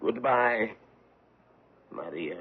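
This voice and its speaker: An actor playing a grave foreign man in a radio drama, speaking in formal, deliberate, intense phrases. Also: on the low side, lively intonation, noisy background. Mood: grave, resigned. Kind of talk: old-time radio drama acting. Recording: tinny, band-limited vintage radio broadcast.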